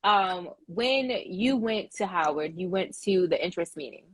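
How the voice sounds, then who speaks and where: A woman's voice: lowish voice, animated, normal speed, breathy edge, no echo, low-quality recording. A woman hosting an interview show, affirming her guest with enthusiasm before asking her questions. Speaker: podcast host. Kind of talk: casual interview conversation.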